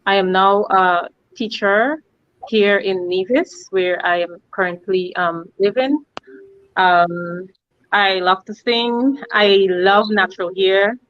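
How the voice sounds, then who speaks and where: A woman's voice: medium pitch, takes it slowly, no echo, highs cut off. A woman from Guyana, friendly and a little hesitant as a panelist. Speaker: panelist, teacher.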